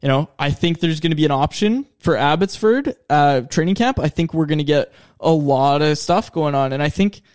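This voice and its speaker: A man's voice: fairly high pitch, expressive, moderate pace, few pauses, a little mellow. A man in his early twenties co-hosting a podcast in casual, joking chat. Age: 20s. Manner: joking, plugs the show's perks. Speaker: podcast co-host.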